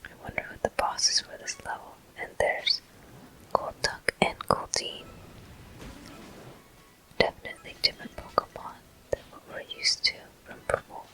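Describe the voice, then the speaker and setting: A person whispering softly and breathily into a close microphone, narrating gameplay step by step in an ASMR style. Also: poor audio. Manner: soft, unhurried, gently narrating each step. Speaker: gaming video host. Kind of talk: ASMR whispered game commentary.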